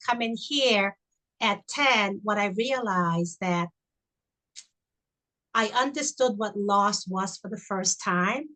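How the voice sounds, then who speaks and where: A woman's voice: medium pitch, a bit bright, poor audio. An older woman from Honduras whose first language is Spanish, telling her life story as a webinar guest with many "you know"s. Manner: reflective, repeats "you know". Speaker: guest, chaplain. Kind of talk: personal storytelling. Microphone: video call.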